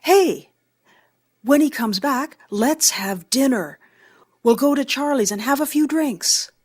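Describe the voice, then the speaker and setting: An actress playing an easygoing, chummy married neighbor in an audio drama. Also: female voice, sits high, animated, slow, a little mellow, husky, exaggerated voice. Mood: friendly, casual. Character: easygoing married woman, friendly neighbor and confidante.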